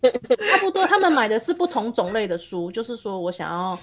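A woman with a somewhat high voice and a Taiwanese Mandarin accent chats on a podcast. Her intonation is very animated, and her phrasing is eager and colloquial. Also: brisk. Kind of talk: casual podcast chat.

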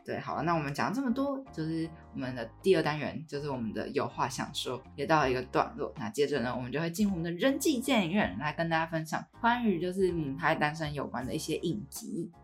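A woman's voice: deep voice, very animated, fast, hardly any pauses, faint music behind. A woman with a Taiwanese Mandarin accent co-hosting a podcast, chatting and commenting in a casual, colloquial way. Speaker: podcast co-host. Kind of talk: casual chat.

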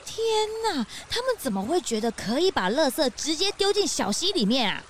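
A woman voicing an eager, excitable young sidekick in a children's audio drama. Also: high voice, fairly quick, a little hiss or hum. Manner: eager, indignant. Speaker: detective's assistant.

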